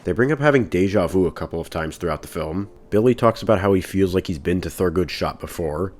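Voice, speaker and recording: A man reviewing a film in voice-over, with a dry, monotone, deadpan delivery. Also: low voice, fast talker, slight background noise.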